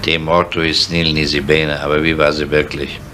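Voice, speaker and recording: Very deep, gruff police inspector. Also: male voice, little pitch movement, normal speed. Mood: dry, composed. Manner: dry, probing, matter-of-fact. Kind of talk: 1970s German television crime drama acting. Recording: noisy vintage drama soundtrack.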